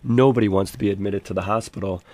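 A hoarse man, a physician guest, speaking in a polished, promotional way during a radio studio interview. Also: lowish voice, fairly even intonation, fast talker, a little mellow, no room sound.

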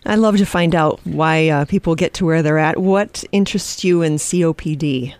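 A woman's voice: low-pitched, moderate pace, a little mellow, no room sound. A woman co-hosting a radio show in a studio, enthusiastic and reading announcements.

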